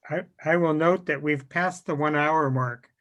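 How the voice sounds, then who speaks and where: A man's voice: fairly high pitch, measured pace, fairly bright tone. A man casually hosting a meeting over a video call. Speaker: meeting host. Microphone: video call microphone.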